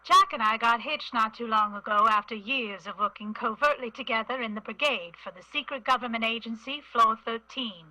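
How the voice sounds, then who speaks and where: A woman playing a brisk army major and seasoned covert agent in a radio comedy. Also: a little high, sharp tone, no echo, rough recording. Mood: confident.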